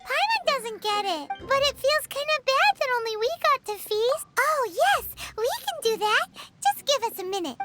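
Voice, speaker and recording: A woman voicing a tiny, greedy, excitable fairy companion who talks about herself in the third person, in a game. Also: very high voice, moderate pace, hardly any pauses, faint music behind, heavily acted.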